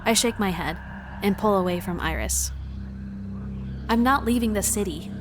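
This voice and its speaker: A woman playing a wary, determined traveler, giving measured, introspective first-person narration in a visual novel fan dub. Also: mid-range voice, noisy background, natural voice. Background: music.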